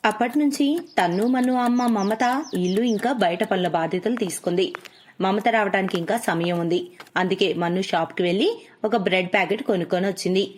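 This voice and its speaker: A woman's voice: medium pitch, fairly quick, slight background noise. A woman narrator reading a scripted moral story for children.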